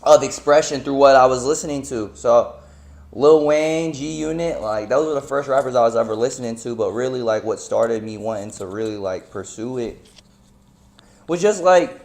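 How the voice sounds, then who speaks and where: A man's voice: mid-range voice, moderate pace, a little mellow. A man in his twenties who grew up in North Carolina, talking loosely as an interview guest, full of slang and fillers. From United States (North Carolina). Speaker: guest musician. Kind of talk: casual interview chat.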